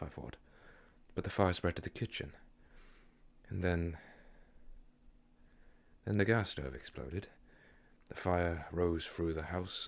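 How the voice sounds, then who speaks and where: A man's voice: low voice, gravelly. A man acting as an anguished, bewildered widower in an audio drama, earnest and dramatic in delivery. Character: anguished, guilt-ridden widower, emotional and bewildered.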